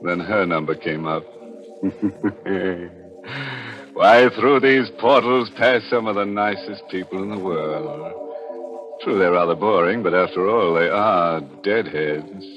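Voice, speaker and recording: A macabre radio horror host, deep and unhurried, savouring every ghoulish quip with sinister glee. It is tongue-in-cheek 1940s broadcast acting over music. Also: male voice, lo-fi sound. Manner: drawn-out, mock-sinister, droll.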